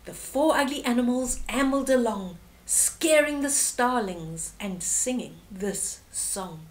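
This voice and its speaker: A middle-aged woman reading a rhyming picture book aloud in a dramatic, deliberate way. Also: fairly high pitch, expressive, poor audio. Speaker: children's storyteller.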